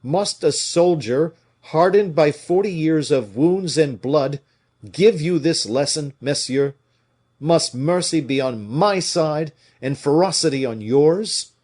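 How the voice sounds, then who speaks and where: A man voicing an indignant, scornful veteran musketeer with a rough, declamatory delivery in an audiobook. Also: a little high, expressive, takes it slowly, a little mellow, pitched above the performer's own voice. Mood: fierce, righteous. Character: fiery, indignant veteran musketeer, gruff old soldier. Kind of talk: dramatic audiobook character reading.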